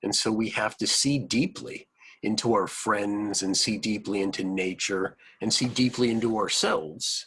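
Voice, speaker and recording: A man reading a picture book aloud to children, adding simple explanatory asides in an expressive manner. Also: fairly low pitch, unhurried, a little hiss or hum, rough recording.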